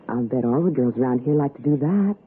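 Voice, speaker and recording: An actress playing a scheming, cajoling city wife in a theatrical old radio suspense style. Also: female voice, rumbling low, phone-quality sound. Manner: coaxing, theatrical. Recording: thin, noisy 1960s radio recording.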